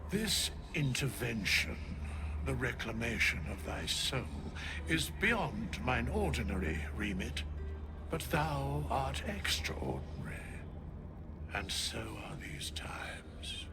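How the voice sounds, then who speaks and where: A man's voice: medium pitch, sing-song, slow pace, creaky, music in the background, full character voice. A man playing an ancient, solemn undead scribe in a fantasy game, with stately, portentous, archaic theatrical delivery. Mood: solemn, benevolent.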